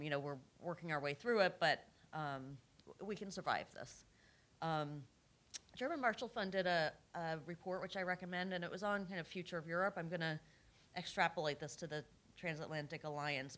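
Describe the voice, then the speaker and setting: A woman speaking as a panelist at a policy discussion in a conference room, measured and reflective, with frequent fillers. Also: on the low side, fairly bright tone. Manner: measured, many fillers and hedges. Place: large conference room with audience.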